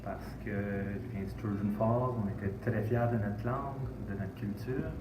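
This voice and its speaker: A man's voice: fairly low pitch, fairly even intonation, measured pace, echoey room. Interviewee, man, Quebec-born, Canadian French accent, proud, distant noisy recording. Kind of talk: vox-pop testimony.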